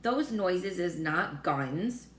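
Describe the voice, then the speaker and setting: A woman's voice: lowish voice, expressive, drawn-out words. Woman voicing a folksy friendly giant with garbled grammar. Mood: assured. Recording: roomy echo with faint noise.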